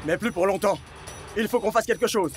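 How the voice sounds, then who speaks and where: A man voicing a goofy, anxious young footballer in an animated cartoon. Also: high-pitched, a little fast, over music, close to an everyday voice. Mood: earnest.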